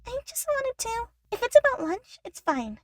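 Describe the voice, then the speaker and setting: A woman voicing a timid, pleading young chipmunk sister who talks haltingly in an audio story. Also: very high-pitched, wildly swooping pitch, background noise, pitched above the performer's own voice, exaggerated voice. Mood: eager, awkward. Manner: hesitant, halting. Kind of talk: fan fiction audio story acting.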